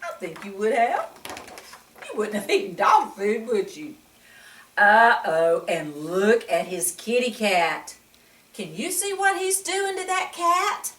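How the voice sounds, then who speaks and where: A woman reading a picture book aloud to children in an animated way, often putting questions to the listener. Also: medium pitch, slow. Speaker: children's story reader. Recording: echoey room, distant mic.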